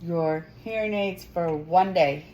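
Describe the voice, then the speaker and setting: A woman filming a casual home vlog about her family, speaking softly and quietly into a phone camera held close. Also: slow, a little hiss or hum, poor audio. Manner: soft, low-volume talk that others struggle to hear. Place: at home, partly in bed.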